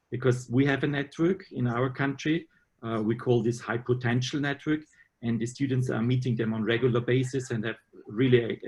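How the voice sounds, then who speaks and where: Man from Austria, university department head, husky voice, skeptical meeting contributor. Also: mid-range voice, a little mellow. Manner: measured, skeptical, frequent restarts. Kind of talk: video meeting discussion.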